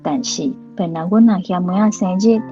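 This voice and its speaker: Actress as a caring auntie in a radio play, taiwanese hokkien accent, affectionate, slightly muffled, soft music behind. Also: female voice, on the low side, moderate pace, close to an everyday voice. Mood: tender, earnest. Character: grown daughter and aunt, affectionate, caring. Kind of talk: radio drama acting.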